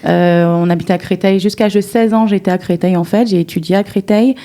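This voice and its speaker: A woman from France, a guest answering interview questions in a measured, reflective way. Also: mid-range voice, fairly even intonation, warm tone.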